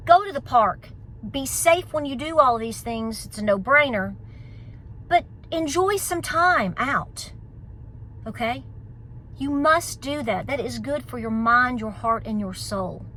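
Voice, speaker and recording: A woman's voice: on the high side, fairly bright tone, a little hiss or hum. A middle-aged woman with a Southern Appalachian accent, giving an emphatic, folksy pep talk in a casual vlog style. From United States. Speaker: homesteading vlogger.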